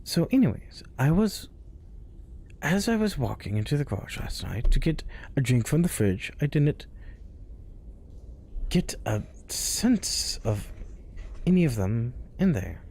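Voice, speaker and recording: Slow, whispery male storyteller. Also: mid-range voice, slight background noise. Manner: hushed, often dropping to a whisper. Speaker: storyteller host. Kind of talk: reading spooky stories aloud.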